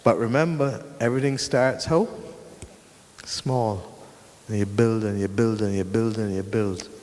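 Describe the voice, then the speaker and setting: A man's voice: fairly low pitch, unhurried, darkish tone. A man at a meeting, speaking in a rousing way and repeating phrases for emphasis. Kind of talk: motivational talk. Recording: long reverb in a hall.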